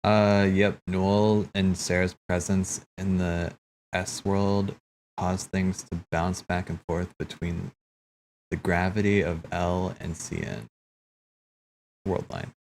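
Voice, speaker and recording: Deep-voiced man rambling through theories. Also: steady tone, gravelly, a little mellow, poor audio. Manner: thinks aloud, halting, repeats himself. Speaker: streamer commenting on game cutscenes. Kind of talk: casual rambling theorizing commentary.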